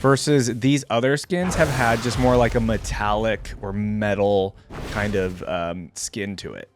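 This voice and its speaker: A man's voice: fairly low pitch, unhurried, background noise. A man giving casual reaction commentary on video game character skins, thinking aloud as he goes. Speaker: reaction channel host.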